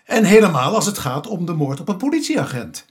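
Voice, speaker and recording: An actor playing a sceptical, businesslike police inspector in a radio drama, with a dry, formal way of reasoning. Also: male voice, sits high, big pitch swings, a little fast, breathy edge, exaggerated voice. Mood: probing, firm.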